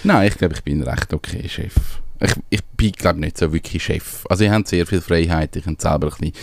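Deep-voiced Swiss German man, casual and rambling. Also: a little fast, vocal fry, no room sound. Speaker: radio show co-host and small business owner. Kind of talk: casual radio chat.

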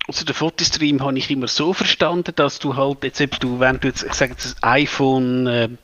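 A man's voice: mid-range voice, fairly even intonation, a little fast, fairly bright tone, no room sound, muffled recording. A man with a Swiss German accent co-hosting a radio tech show, thinking aloud with many fillers. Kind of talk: casual radio chat.

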